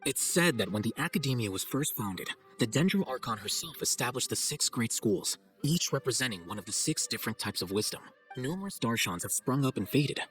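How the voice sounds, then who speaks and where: A man playing an arrogant young scholar in a video game, composed and deadpan, with a condescending dryness. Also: medium pitch, fairly quick, few pauses, faint music behind, close to an everyday voice, poor audio. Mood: matter-of-fact. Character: cool, arrogant young male scholar, dry and sardonic.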